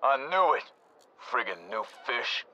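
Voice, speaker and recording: A man acting a gruff, scornful, streetwise mob thug in a video game. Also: medium pitch, big pitch swings, unhurried, sharp tone, highs cut off, exaggerated voice. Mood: irritated.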